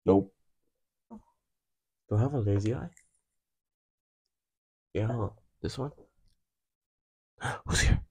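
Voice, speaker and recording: A man's voice: on the low side, drawn-out words, long pauses, a little mellow, breathy edge. A young man, chatting and joking with his girlfriend in a bedroom while she does his makeup, laughing often and using loose slang. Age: young adult. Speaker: vlogger. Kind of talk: casual chat. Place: small bedroom, indoors.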